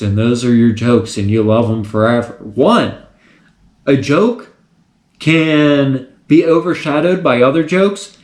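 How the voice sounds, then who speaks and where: A man giving a casual how-to lesson on stand-up comedy, talking with lots of personality and big swings in pitch. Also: roomy sound. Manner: animated, swings pitch widely for effect. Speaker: comedy teacher.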